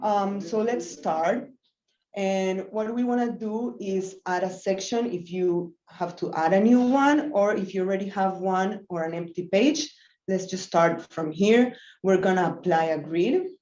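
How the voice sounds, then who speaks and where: Woman, 30s, from Venezuela; relaxed, unhurried tutorial delivery with fillers, webcam mic in a roomy office. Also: mid-range voice. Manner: easygoing, many ums and self-corrections. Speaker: web designer giving a live tutorial. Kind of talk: live screen-share tutorial. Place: small office room, indoors. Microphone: laptop webcam mic on a video call. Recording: roomy, dull lo-fi call audio.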